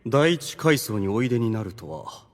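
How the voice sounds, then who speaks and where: A man's voice: lowish voice, big-room sound. A man playing a suave, devoted demon strategist who speaks with polite relish, in a Japanese anime audio drama. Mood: reverent. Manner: polite, smooth-talking, deliberate flattery.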